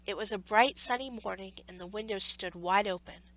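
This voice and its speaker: A woman storyteller narrating a children's story in a measured, expressive read-aloud manner. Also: medium pitch, rough recording.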